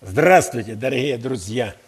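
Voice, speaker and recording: A man in his sixties giving an earnest patriotic interview as a studio guest, halting and emphatic in a declamatory way. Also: medium pitch, animated, slightly rough. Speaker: university rector, interview guest. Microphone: headset.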